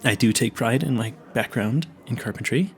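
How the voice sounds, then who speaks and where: A man's voice: medium pitch, moderate pace, soft background music, natural voice. A man playing a nervous, clueless young vampire hunter in an audio roleplay, stammering and easily sidetracked as he earnestly chats to the listener. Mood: excited, proud.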